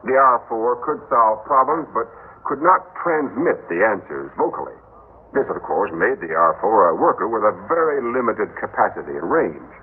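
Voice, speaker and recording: An actor playing a persuasive, smooth-talking male head of a robot company in an old radio drama. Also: on the low side, very animated, normal speed, exaggerated voice. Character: suave middle-aged male robot-company chief. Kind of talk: 1960s radio drama acting. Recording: tinny old radio broadcast with hiss.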